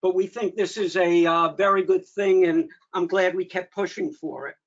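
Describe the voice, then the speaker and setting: High-pitched man giving a halting briefing. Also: steady tone. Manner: halting, with frequent pauses mid-sentence. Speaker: meeting host, association official giving updates. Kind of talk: informal spoken briefing to an online meeting. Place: remote video meeting. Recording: dull lo-fi online meeting audio.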